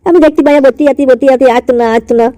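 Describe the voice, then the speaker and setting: Woman doing a high-voiced cheeky cartoon schoolboy. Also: very fast, dark tone, no echo, natural voice. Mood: nervous, excusing. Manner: slangy, wheedling, brash. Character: cheeky, pushy, foul-mouthed cartoon schoolboy. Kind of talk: cartoon comedy dialogue.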